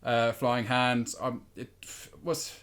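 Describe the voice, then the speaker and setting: Young male pundit, medium pitch, hesitant and considered, conversational. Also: level tone, slow pace, a bit bright. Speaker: podcast panellist. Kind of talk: casual football discussion.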